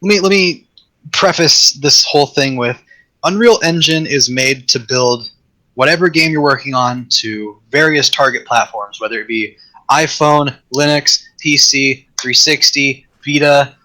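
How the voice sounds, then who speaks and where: A man chatting as a guest on a tech podcast, rambling with restarts, his voice getting raspy. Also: mid-range voice, a little slow, bright, forceful tone, low-quality recording. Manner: rambling, many restarts and fillers. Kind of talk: casual tech chat.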